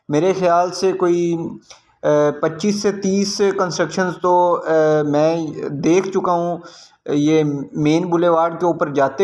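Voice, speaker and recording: A man's voice: on the high side, darkish tone. A man with a Pakistani accent giving informal, explanatory commentary on a property site visit as a real estate video host.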